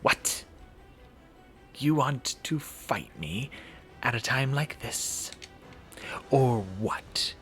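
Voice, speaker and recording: A man's voice: medium pitch, animated, extremely slow, long pauses, sharp tone, faint music behind, pitched above the performer's own voice, full character voice. A man voicing a brash, short-tempered young fighter in a visual novel, threatening and taunting. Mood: angry, taunting.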